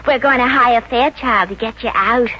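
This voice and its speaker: A woman's voice: a little high, normal speed, pushed and bright. An actress playing a naive, eager, oblivious office girl in a 1950s radio comedy, speaking with earnest formality. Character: naive, eager, dim-witted office girl, loyal sidekick. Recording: noisy, dull old 1950s radio recording.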